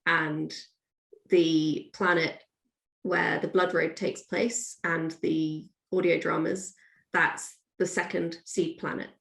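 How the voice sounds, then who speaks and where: A woman's voice: low-pitched, flat delivery, slow, creaky, fairly bright tone. A woman chatting casually as a guest author, informal and a little self-deprecating. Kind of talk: casual chat. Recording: echoey room.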